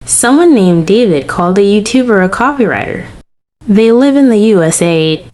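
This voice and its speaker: A woman announces a scripted emergency bulletin. Her pitch is average for a woman, and there is faint noise in the recording. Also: lively intonation, normal speed.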